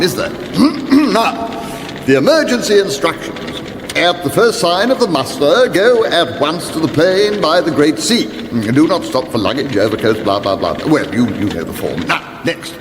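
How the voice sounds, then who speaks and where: Echoey, high-voiced rambling wizard. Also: male voice, very animated, moderate pace, noisy background. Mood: rambling, instructive. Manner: rambling, fussy, distracted. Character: doddering, apologetic old male wizard, speaking as recorded vision. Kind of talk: comic fantasy radio drama. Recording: echoey long reverb, music behind.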